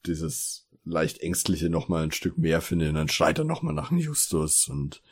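Low, husky-voiced man chatting enthusiastically. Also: sing-song, normal speed. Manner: enthusiastic, quotes characters' lines while retelling. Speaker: podcast co-host. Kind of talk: casual discussion retelling an audio drama.

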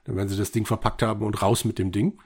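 A man's voice: lowish voice, level tone, fast talker, darkish tone, husky. A man co-hosting a podcast, casually retelling an audio drama episode and acting out quoted bits as he goes. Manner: acts out quoted lines while retelling. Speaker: podcast co-host.

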